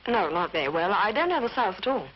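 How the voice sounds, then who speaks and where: A polite, loyal young woman in a 1940s British melodrama. Her voice is husky and moves freely in pitch. The old film soundtrack is dull. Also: sing-song, fast talker. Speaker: film actress.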